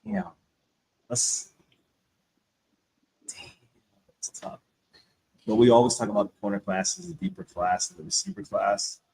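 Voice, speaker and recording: A man's voice: medium pitch, a little slow, vocal fry, pauses often, breathy edge, low-quality recording. A man co-hosting a draft show, talking in a slangy, casual way as he debates his pick. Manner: animated, slangy, says bro a lot. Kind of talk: casual mock draft chat.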